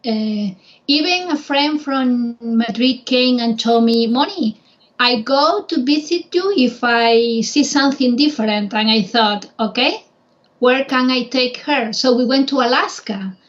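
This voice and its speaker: A woman from Spain speaks English with a clear Spanish accent in a fairly high voice. She tells her stories in a chatty, hesitant way, full of small fillers. The recording sounds muffled and low-fidelity. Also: a little slow. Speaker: guest, travel guide. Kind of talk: casual conversation.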